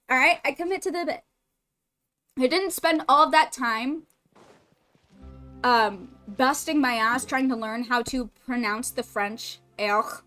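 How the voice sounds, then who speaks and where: A woman's voice: high voice, pushed and bright. A young woman streaming a game, joking and swearing in casual chat. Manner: jokey and playful, swears freely.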